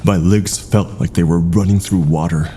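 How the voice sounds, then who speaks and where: A man narrating a scripted horror story in a dramatic, suspenseful way with drawn-out pauses. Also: sits low, steady tone, mellow, a little hiss or hum.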